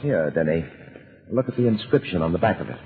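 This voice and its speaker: Cool, wry, authoritative detective captain, urbane and animated, vintage radio drama through narrow tinny sound. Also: male voice, deep voice, fairly quick. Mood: probing, commanding. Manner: urbane, dry, crisply authoritative. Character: suave, commanding big-city detective, cool and wry. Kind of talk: 1940s radio acting.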